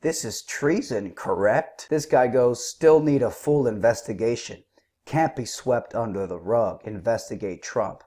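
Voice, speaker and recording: A man with a sore throat giving combative, scornful political commentary, clearing his throat now and then. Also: mid-range voice, unhurried, warm tone. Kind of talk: opinionated commentary.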